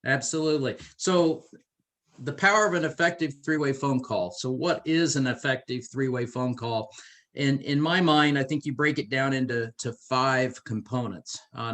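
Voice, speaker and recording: A middle-aged man speaks as the host of a business training interview. His voice sits at an average pitch. He comes across as enthusiastic and encouraging while talking conversationally to his guest. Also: a bit bright.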